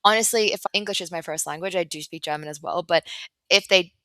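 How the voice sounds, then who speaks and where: A woman guest on a business podcast, chatty and animated, laughing often and sprinkling "right" and "like" into her talk. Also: lowish voice, fast talker, no room sound, studio quality. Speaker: business development director, guest. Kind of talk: conversational podcast interview.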